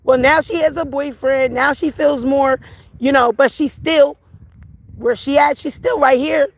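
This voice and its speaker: A middle-aged woman on a livestream, her voice hoarse from crying, talking to viewers in a raw, emotional way. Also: high-pitched, steady tone, a little slow, no room sound. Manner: raw, emotional, repeats herself. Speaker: livestream host.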